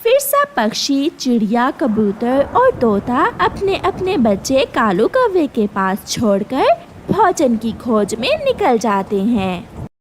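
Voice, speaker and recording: A woman's voice: a little high, very animated, slow pace, slight background noise. A woman narrating a scripted children's story as its storyteller.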